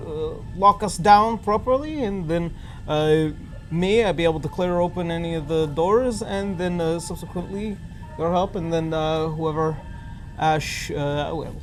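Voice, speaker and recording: A man playing a tabletop role-playing game, speaking in a halting, hesitant way as he discusses with the group. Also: high voice. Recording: background music under the voice.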